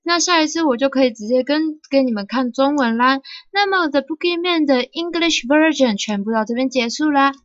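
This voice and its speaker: A high-voiced woman from Taiwan streams a game, chatting playfully. Her voice sounds dry and slightly dull, with faint music behind it. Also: lively intonation, a bit bright, no room sound. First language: Mandarin. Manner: playful, halting when speaking English. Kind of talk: live game stream chat.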